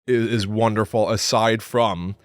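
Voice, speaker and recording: A man's voice: fairly low pitch, fairly even intonation, unhurried, no echo. A man co-hosting a podcast, discussing film casually and emphatically, often repeating himself. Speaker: podcast co-host.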